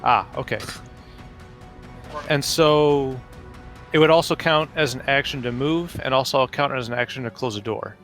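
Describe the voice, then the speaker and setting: A man playing a tabletop game, deliberately thinking his moves through out loud in casual talk. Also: medium pitch. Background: music.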